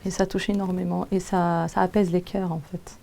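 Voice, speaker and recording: A woman's voice: fairly low pitch, level tone, normal speed, vocal fry, dark tone. A woman who teaches Quran recitation and is a native Arabic speaker, giving a personal testimony in French, hesitant and often searching for words.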